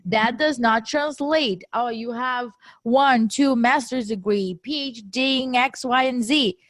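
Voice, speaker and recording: A woman's voice: a little high, a little slow, no echo. A woman co-hosting a podcast, talking animatedly and laughing easily at her own grammar slips. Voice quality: non-native English accent. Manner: animated, laughs often, self-deprecating about grammar. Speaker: podcast co-host. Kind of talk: casual podcast chat.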